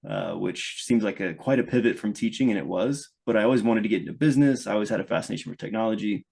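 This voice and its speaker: A man's voice: lowish voice, quick pace, vocal fry, darkish tone, breathy edge. A man from Louisiana, a guest product manager, telling his story thoughtfully, with frequent restarts. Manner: thoughtful, restarts often, speeds up when engaged. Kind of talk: conversational podcast interview.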